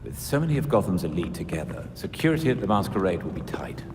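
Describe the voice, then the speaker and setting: An actor playing a loyal elderly butler in a video game, with a formal, measured, discreet manner. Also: male voice, deep voice, very animated, in a large hall, noisy background. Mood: measured, grave. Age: older. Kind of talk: video game cutscene acting.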